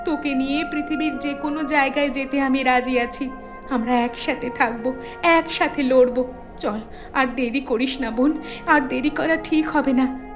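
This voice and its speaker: A woman's voice: high voice, fairly even intonation, normal speed, music in the background, natural voice. A woman voicing a brave, devoted elder sister in a cartoon, earnest and determined. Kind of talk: cartoon voice acting.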